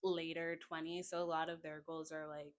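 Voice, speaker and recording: A woman's voice: low voice, level tone, normal speed. A woman in her twenties co-hosting a podcast, blunt and casual, swearing now and then. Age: 20s. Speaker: podcast co-host. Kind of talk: casual chat.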